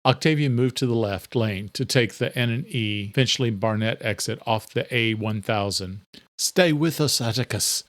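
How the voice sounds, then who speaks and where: A man's voice: on the low side, fairly even intonation, moderate pace, hardly any pauses, no room sound. A man reading scripted narration for a thriller audiobook.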